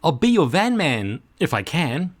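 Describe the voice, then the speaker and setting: A man's voice: fairly high pitch, very animated, unhurried, pitched above the performer's own voice. A man voicing a modest, kindly male van driver in a children's picture book, earnest and obliging. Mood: eager, obliging.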